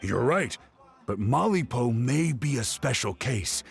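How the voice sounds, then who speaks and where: A man playing an earnest, excitable young researcher in a video game, explaining things insistently. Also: mid-range voice, unhurried, vocal fry, slightly rough, faint music behind, exaggerated voice.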